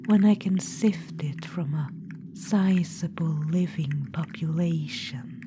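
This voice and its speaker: A woman voicing a vain undead witch-queen necromancer in an ASMR roleplay, whispering softly and calling the listener darling. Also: lowish voice. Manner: soft intimate whisper, calls listener darling; smug, boastful, self-satisfied. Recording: whisper with steady background noise.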